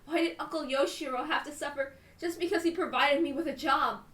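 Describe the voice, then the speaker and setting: A woman reading first-person visual novel narration dramatically, in a tense, deliberate and emotive way. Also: sits high, steady tone, a bit bright. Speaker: reader on a stream. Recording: roomy, distant mic, music behind.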